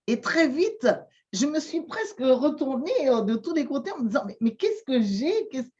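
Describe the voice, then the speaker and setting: A woman from Madagascar, whose first language is not French, answering interview questions slowly with many pauses, fillers and repeated words. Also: high voice, big pitch swings, darkish tone, lo-fi sound. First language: Malagasy. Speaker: guest, shamanic therapist.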